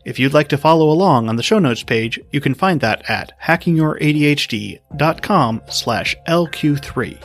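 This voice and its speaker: A man hosting a podcast, giving calm, explanatory answers from a script. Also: a little high, moderate pace, hardly any pauses, soft background music. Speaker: podcast host.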